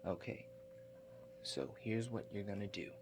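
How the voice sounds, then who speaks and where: A man doing a softly spoken ASMR roleplay as a calm relaxation agent, hushed and halting, with gentle check-in questions. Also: on the low side, vocal fry, over music. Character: calm, awkward house-call relaxation agent. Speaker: ASMR performer.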